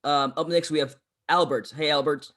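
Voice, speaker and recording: A man's voice: fairly high pitch, steady tone, moderate pace, fairly bright tone. A man hosting and moderating a video call in casual, conversational speech. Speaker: host.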